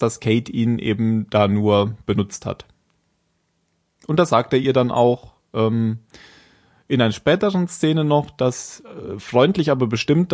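A man's voice: mid-range voice, a little mellow. A man in casual podcast chat, walking through the story of an episode. He talks in a loose, meandering way, often stopping to fix what he just said. His delivery stays informal and unrehearsed. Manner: rambling, self-correcting, with frequent fillers and laughs. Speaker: podcast co-host.

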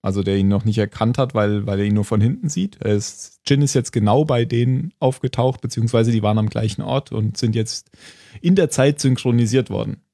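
Man, medium pitch, podcast host, casual recap, hesitant thinking aloud, chuckly, dry recording. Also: brisk, mellow, no echo. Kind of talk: casual chat recapping a TV series episode.

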